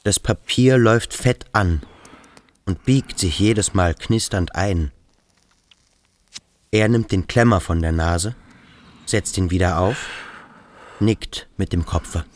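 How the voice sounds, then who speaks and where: An actor playing a brooding, observant boarding-school pupil in a radio play, speaking reflectively and deliberately. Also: male voice, low-pitched. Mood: detached, scrutinizing. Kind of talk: German radio play acting.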